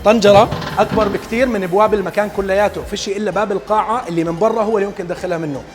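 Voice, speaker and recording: A young man hosting a cooking vlog, talking to viewers in a brisk, excitable, joking way. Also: sits high. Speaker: cooking show host. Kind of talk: casual on-location cooking vlog narration. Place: busy event venue with a large outdoor cooking area. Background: background music.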